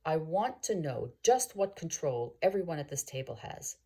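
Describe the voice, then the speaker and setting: Calm naturalist who takes charge, acted by a woman: measured, authoritative, mellow, expressive storybook delivery. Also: deep voice, husky, full character voice. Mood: calm command. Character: calm, commanding male American naturalist.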